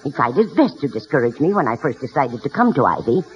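A woman's voice: extremely low pitch, sing-song, fast. An actress playing a feisty, plain-spoken old grandmother with wry wit in a vintage radio comedy. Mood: exasperated, wry. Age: older. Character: feisty, wry old grandmother, candid mother of a snobbish son. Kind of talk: 1940s-50s radio comedy acting. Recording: muffled, hissy vintage radio recording.

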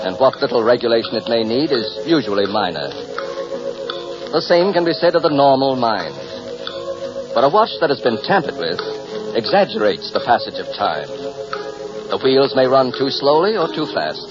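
A solemn, ominous narrator played by a man delivers scripted 1940s radio drama with a wide, dramatic swing in pitch. Very loud music runs under the muffled old recording. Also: big pitch swings, moderate pace, natural voice. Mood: grave, ominous. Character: grave, ominous philosophical narrator of radio thriller.

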